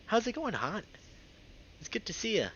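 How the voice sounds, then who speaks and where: A man's voice: high voice, huge pitch swings, normal speed, a bit bright. A man live-streaming a visual novel, reading it aloud and chatting casually with viewers. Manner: chatty, greets viewers by name. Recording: dull lo-fi microphone, faint noise.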